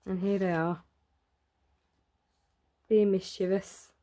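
A woman reading a rhyming children's picture book aloud in an expressive, deliberate way, drawing out words for emphasis. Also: mid-range voice. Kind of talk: expressive read-aloud of a rhyming bedtime story.

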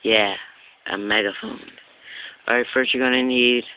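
Male how-to narrator, casual and rambling, little pitch movement, lo-fi phone recording. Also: fairly even intonation, moderate pace. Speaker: video maker giving a how-to. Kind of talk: casual improvised tutorial chat. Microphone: handheld Android phone. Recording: tinny phone-like audio, clear background noise.

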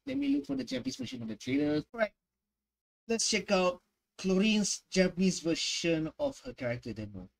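A man's voice: sits high, gravelly, low-quality recording. A man in his twenties giving casual, slangy reaction commentary, exclaiming and repeating his phrases. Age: 20s. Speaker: reaction video host, gamer. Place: small bedroom, indoors.

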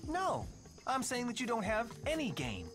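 A man's voice: extremely high pitch, wildly swooping pitch, moderate pace, heavily acted. An actor playing a boastful male lion in a video game, cocky and theatrical, with an American accent. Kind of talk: animated video game acting. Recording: game music behind the voice.

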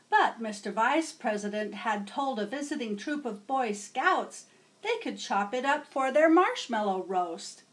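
A middle-aged woman reading a picture book aloud to children in an expressive, measured storytelling style, in a room at home. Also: fairly high pitch. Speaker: storybook reader. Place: small home room with bookshelves.

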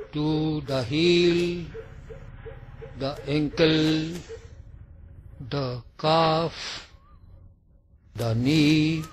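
A man leading a guided meditation, speaking in short, deliberate phrases separated by long pauses. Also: a little high, slight background noise. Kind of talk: slow guided yoga nidra meditation narration.

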